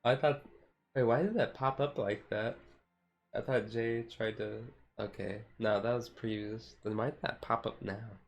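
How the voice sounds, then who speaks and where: A man's voice: fairly low pitch, fairly even intonation, a little slow, gravelly, soft background music. A man streamer chatting casually and rambling in a self-deprecating way as he talks to his live viewers. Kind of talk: casual live-stream chat while sorting cards.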